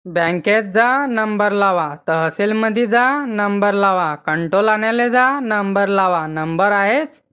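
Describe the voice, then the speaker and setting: A man's voice: high voice, slow, bright, forceful tone, clear, resonant tone, exaggerated voice. A man voicing an argumentative, grumbling village husband in a comic cartoon, with a rural Maharashtrian accent.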